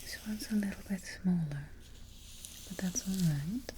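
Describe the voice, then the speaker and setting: A woman playing a fussy, quietly menacing evil queen in a soft, whispered ASMR roleplay, musing with deliberate pauses. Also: lowish voice, slight background noise, close to an everyday voice. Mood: calm, musing.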